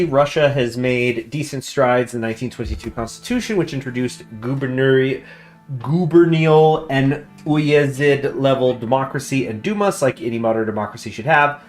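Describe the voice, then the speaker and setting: A man at a middle pitch chats his way through a strategy game, thinking aloud and reading the on-screen text aloud. He sounds relaxed and unscripted. Quiet game music plays faintly underneath. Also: moderate pace. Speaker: gameplay commentator. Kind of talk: casual let's-play commentary.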